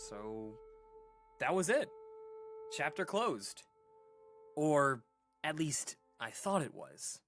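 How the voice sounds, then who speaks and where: A man's voice: mid-range voice, fairly bright tone. Shaken man telling a haunting story. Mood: wistful, ominous. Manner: halting, earnest, casual, with dramatic pauses. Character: shaken adult man recounting a haunting childhood memory. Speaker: caller telling a paranormal story on a radio show. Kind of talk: conversational scary storytelling, audio drama monologue.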